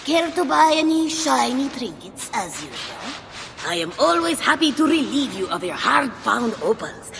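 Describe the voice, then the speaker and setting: A woman's voice: a little high, big pitch swings, normal speed. An actress playing a sly, teasing, scheming female merchant imp in a video game, with a playful, insinuating, theatrical delivery. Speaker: merchant character. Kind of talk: game cutscene acting. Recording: long hall reverb, clear background noise.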